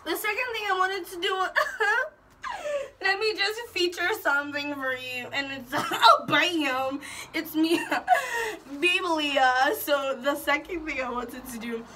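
A young woman from Ghana chatting casually and excitedly in an intro vlog, giggly and animated, recorded in a small bedroom. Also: sits high, slow, a little hiss or hum. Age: young adult. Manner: bubbly, giggly, animated, gesturing. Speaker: YouTube vlogger.

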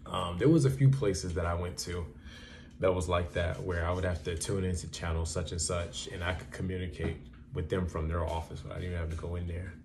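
Low-voiced young man, casual and blunt. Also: fairly even intonation, fairly quick, background noise. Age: young adult. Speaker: trucker giving advice in a vlog. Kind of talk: casual advice talk to camera. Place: small plain indoor room.

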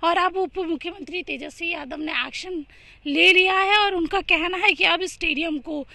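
A young woman reporting news from outdoors at a stadium, holding a handheld mic, talking quickly and earnestly with frequent filler phrases. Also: very high voice, fairly even intonation, gravelly, sharp tone, no room sound. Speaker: field reporter. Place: outdoors at a large open stadium. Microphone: handheld mic in front of her.

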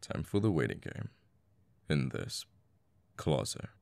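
A man playing a cocky assassin boyfriend in an intimate ASMR audio roleplay, murmuring and sweary, with sighs and pauses. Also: extremely low pitch, big pitch swings, vocal fry, darkish tone, no echo, heavily acted. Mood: musing. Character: cocky young male assassin, flippant, menacing, secretly a devoted boyfriend.

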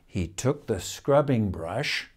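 An older man reads a children's picture book expressively, with measured phrasing and dramatic pauses. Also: fairly low pitch, big pitch swings. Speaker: storyteller reading a picture book. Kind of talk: expressive children's storybook reading. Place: indoors, room in a house with stone wall.

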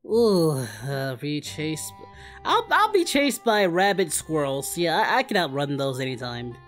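Man acting as cocky anime fighting hero, flirty, playful, highly animated delivery, parody banter, music underneath. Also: measured pace. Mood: cocky, excited. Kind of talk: improvised in-character gaming banter.

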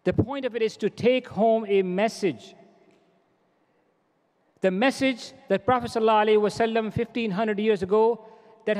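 A middle-aged man gives a formal welcome speech from a podium, earnest and exhorting, often repeating his phrases. His voice sits high for a man. Podium microphones catch him, and a long reverb trails after each phrase in the large hall. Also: darkish tone. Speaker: organization president giving a welcome address. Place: large convention hall stage.

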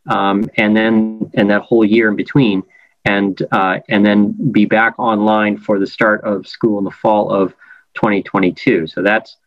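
A man with a fairly low voice gives a project update over a video call, pausing often with fillers and restarting his sentences. The audio is dull and lo-fi, with a dry, close sound. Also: no room sound. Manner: halting, many fillers and restarts. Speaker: project architect presenting to a school board.

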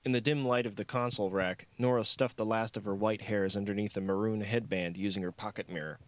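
A man's voice: lowish voice, fairly even intonation, fairly quick, dry recording. A man reading scripted fiction as an audiobook narrator.

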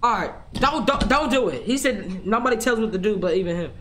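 A man's voice: sits high, animated, fairly quick, background noise. A teenage boy watching a game with a friend at home, telling him what to click and do. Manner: bossy, directing the player. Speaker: co-player. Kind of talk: casual gameplay chat.

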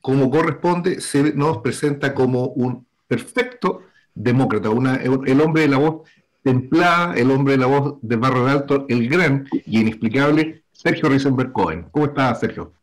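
A man's voice: medium pitch, normal speed, rough recording. A middle-aged man hosting a political talk show from home on a video call with a headset, giving formal, wordy introductions full of fillers. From Chile. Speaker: host. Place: home room with bookshelves.

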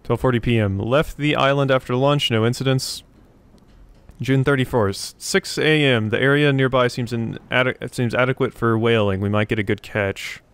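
A man with a clean, smooth voice at an average pitch hosts a gaming video. He talks casually, working things out aloud as if to himself. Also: steady tone, moderate pace, fairly bright tone. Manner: thinks aloud, talking to himself and the game. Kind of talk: casual let's play commentary, reading game notes aloud.

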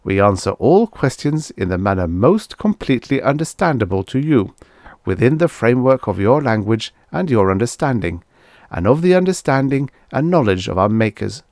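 Science fiction storybook oracle performed by a man: calm machine mind, mid-pitched, pedantic and exact. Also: dry recording, clean studio sound. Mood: calm, precise. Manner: formal, measured, pedantic. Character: ancient alien machine oracle, calm, precise, impartial.

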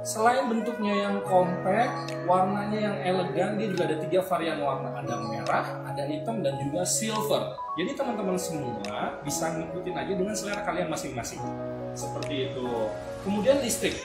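A young man talks through a casual product demo in a chatty, animated way, filmed on a phone a few steps off. The small room is echoey, and loud music plays behind him. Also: sits high, normal speed. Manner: chatty, animated, gestures while explaining. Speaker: product reviewer. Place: small indoor kitchen showroom.

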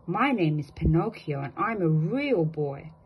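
A woman's voice: deep voice, sing-song, unhurried, husky, highs cut off, heavily acted. A woman voicing a mischievous wooden puppet boy in a children's storybook reading. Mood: insistent. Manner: bold, giggly, insistent. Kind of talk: storybook read-aloud for children.

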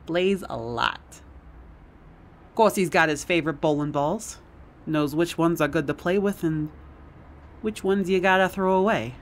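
A man playing a slow, callous kidnapper thug. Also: on the high side. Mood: taunting, menacing. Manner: taunting, casual menace. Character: callous male kidnapper, mocking thug disposing of a hostage. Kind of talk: audio roleplay acting.

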